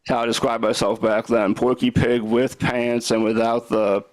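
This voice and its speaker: A young man doing live stand-up with a stutter, talking slowly and haltingly with deadpan one-liners. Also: mid-range voice, no echo. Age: young adult. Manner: slow, halting delivery with restarts. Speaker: stand-up comedian. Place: comedy club stage.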